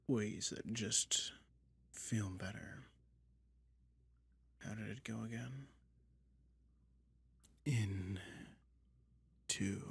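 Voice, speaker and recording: Man voicing a slow, whispering, gravelly dragon. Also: lowish voice, fairly bright tone, heavily acted. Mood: calming, lulling. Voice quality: breathy, mostly whispered. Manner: slow, lulling, teasing. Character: soothing, toying male dragon lurking in a cave. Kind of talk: soft-spoken ASMR roleplay with hypnotic relaxation.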